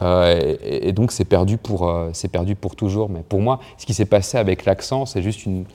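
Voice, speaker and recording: Low-voiced guy in his twenties, warm dark tone, stops and restarts a lot, interview. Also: moderate pace. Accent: neutral Parisian French. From France. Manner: hesitant, many restarts and fillers. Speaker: writer and poet.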